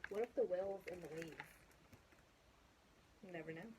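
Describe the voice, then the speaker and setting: A woman's voice: lowish voice, moderate pace, noisy background. A woman reading a children's picture book aloud casually, chatting to young listeners with questions and asides. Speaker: storybook reader.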